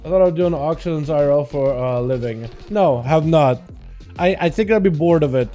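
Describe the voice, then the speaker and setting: A man with a slight Russian accent, streaming and calling game duels with excited play-by-play, then chatting loosely with his viewers. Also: on the high side, faint music behind. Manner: fast, excitable play-by-play that turns to loose rambling chat. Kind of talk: excited live game commentary mixed with casual chat.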